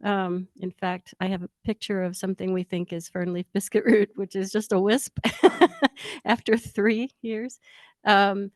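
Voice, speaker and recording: A woman's voice: mid-range voice, flat delivery, a little slow, no echo, clean studio sound. A woman researcher explaining field plans in a meeting, talking casually with many fillers. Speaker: plant researcher. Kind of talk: meeting discussion. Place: conference room.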